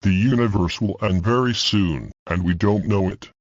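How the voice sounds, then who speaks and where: A man plays a grave official reading an emergency warning. His voice sits low with a dry, close sound, and the delivery is formal and scripted. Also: normal speed, no room sound, muffled recording. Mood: grave, ominous. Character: grave male government emergency message reader warning of doom.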